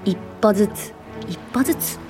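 A woman's voice: medium pitch, normal speed, natural voice. An actress playing an earnest, determined and idealistic young woman in her twenties in a radio drama. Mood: earnest wonder. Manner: earnest, wondering. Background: music.

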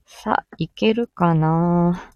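A woman's voice: lowish voice, takes it slowly, mellow, dull audio. A woman chatting casually as a live-stream host, greeting listeners from inside a car.

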